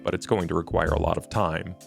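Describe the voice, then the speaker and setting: A man voicing a shrewd, composed vampire financier in a tabletop roleplay, speaking in a measured, formal, understated way. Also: sits low, level tone, vocal fry, over music. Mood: wry, cautious.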